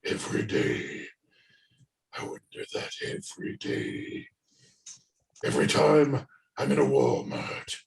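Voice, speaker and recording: Man acting a gravelly, world-weary lonely father. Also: very deep voice, huge pitch swings, full character voice. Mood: wistful, weary. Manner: halting, brooding. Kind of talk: play table reading, monologue. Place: indoors, echoey room, remote video call. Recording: roomy echo on video call.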